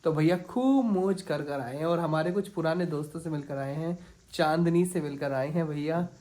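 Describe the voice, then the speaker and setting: A man's voice: a little high, moderate pace, a little mellow. A man vlogging casually from home, talking in a chatty, teasing way to his viewers. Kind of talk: casual daily vlog chat. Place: at home indoors.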